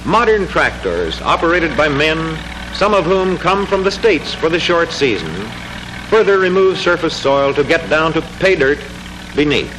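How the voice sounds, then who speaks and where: A man narrating a 1940s educational film in a formal, measured, declamatory manner, reading from a script. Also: fairly high pitch, lively intonation, noisy background. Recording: 1940s film soundtrack with music. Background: music.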